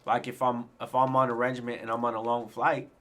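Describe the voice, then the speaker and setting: A man's voice: medium pitch, flat delivery, fast talker, fairly bright tone. A man co-hosting a live show, talking loosely and often repeating himself. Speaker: co-host. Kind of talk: casual live show chat.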